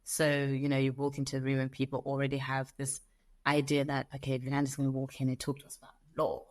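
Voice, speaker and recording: A woman's voice: a bass voice, fast. A young woman with a Zimbabwean accent, an interview guest telling stories animatedly and acting out the people she quotes. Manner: animated storyteller, acts out quoted voices. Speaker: guest, lawyer. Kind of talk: conversational interview.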